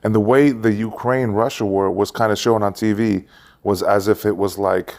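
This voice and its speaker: Gravelly man in his forties. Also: fairly low pitch, steady tone, normal speed, darkish tone. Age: 40s. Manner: rambling, jokey, trails off mid-sentence. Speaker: podcast co-host. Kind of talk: casual chat.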